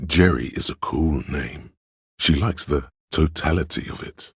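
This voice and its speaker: A man voicing a pompous, erudite inner voice of artistic imagination in a video game, deliberate and theatrical, with a gravelly, husky voice. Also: very deep voice, dry recording, clean studio sound, exaggerated voice. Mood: musing.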